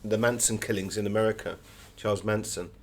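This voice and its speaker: A man's voice: lowish voice, steady tone, fairly quick. A man giving conversational, anecdotal answers as an author being interviewed.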